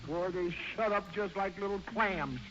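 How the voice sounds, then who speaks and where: An actor playing an excitable, bumbling suburban husband and father in an old radio comedy, stammering and flustered. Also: sits high, normal speed, natural voice. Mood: determined. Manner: flustered, stammering, interjecting constantly. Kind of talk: 1940s radio comedy acting. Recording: dull, noisy old-time radio recording.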